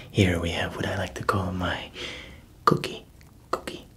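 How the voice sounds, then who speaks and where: A man in an ASMR roleplay voicing a proud male baker who whispers slowly, chuckles softly and fumbles for words as if foreign. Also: deep voice, husky, full character voice. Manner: whispered, slow, with soft chuckles.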